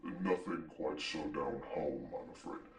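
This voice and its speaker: A man playing a sly, condescending demon in a comedy sketch, with a very deep bass voice and deliberate, mock-courteous speech. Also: steady tone. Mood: tempting. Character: smooth, sly demon in a suit, scheming and condescending. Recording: echoey room, distant from the mic.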